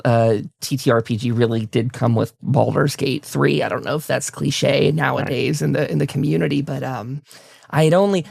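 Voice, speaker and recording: A man hosts a relaxed interview show with an effusive, wordy delivery. His voice sits at a middling pitch with noticeable creak, recorded close and dry. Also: dry recording. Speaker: talk show host interviewing a guest. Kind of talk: casual enthusiastic interview chat.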